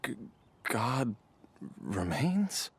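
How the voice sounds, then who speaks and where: An actor playing a confused, feeble, ailing father in an anime game, halting and stammering. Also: medium pitch, very animated, noisy background, exaggerated voice. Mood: dazed.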